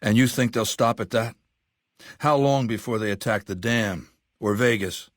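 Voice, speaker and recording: An actor playing an imperious, cruel middle-aged warlord dictator in a video game, speaking with commanding, sardonic deliberation. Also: male voice, fairly low pitch, moderate pace, dry recording, clean studio sound, close to an everyday voice. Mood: stern, commanding. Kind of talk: video game character dialogue.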